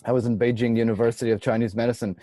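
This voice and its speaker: A man from Portugal with a warm, mellow voice hosts a relaxed chat. He laughs often and stumbles over repeated words. The sound is dry and close, with no room echo. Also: mid-range voice, racing. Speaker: podcast host. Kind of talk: casual interview chat.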